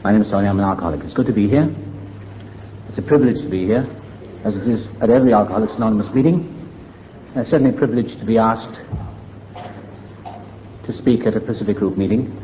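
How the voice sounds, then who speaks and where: An older man with a Welsh accent speaks in a low voice, reflective and candid with touches of dry humor. The recording is muffled and lo-fi, with a faint hum of the room behind him. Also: fast talker, pauses often. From Wales. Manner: reflective, candid, wry storytelling. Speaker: AA meeting speaker. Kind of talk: personal recovery story at a meeting.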